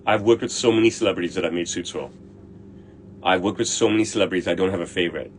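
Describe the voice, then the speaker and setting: A brash middle-aged man talks bluntly in a low voice and swears without a second thought. He answers viewer questions in a loose, casual way, and faint room noise hums under him. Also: quick pace. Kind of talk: casual livestream Q&A.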